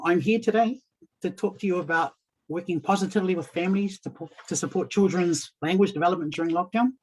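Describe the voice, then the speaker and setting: A man in his thirties with a New Zealand accent, a community coach giving a warm, soft-spoken webinar talk over a video call. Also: fairly high pitch, low-quality recording. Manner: soft-spoken, warm, with Samoan and Māori greetings. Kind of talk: presenting in a webinar.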